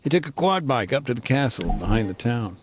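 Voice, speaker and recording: A middle-aged man voicing a frank former combat engineer turned demining worker, measured and matter-of-fact in a game cutscene. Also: mid-range voice, big pitch swings, slight background noise, close to an everyday voice. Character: weary male ex-soldier turned deminer, frank. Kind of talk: voiced game cutscene dialogue.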